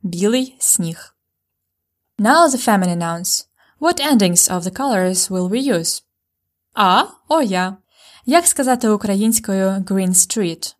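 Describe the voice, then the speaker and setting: A woman with a Ukrainian accent teaches a podcast language lesson. She voices each example word slowly and clearly so learners can copy it. Her voice sits at an ordinary middle pitch for a woman. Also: lively intonation. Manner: clear, slow model pronunciations.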